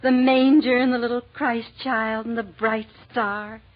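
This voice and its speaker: A woman's voice: on the high side, steady tone, measured pace. An actress in a 1940s radio drama playing a humble, devout, kindly mother who works as a cleaning woman, homely and deferential. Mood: admiring. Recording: dull 1940s radio recording.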